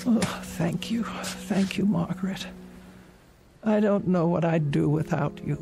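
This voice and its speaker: An actress playing a frail, fretful, lonely old woman in a radio drama, with a creaky voice. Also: on the low side, unhurried, music in the background. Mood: frail, despairing. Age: older.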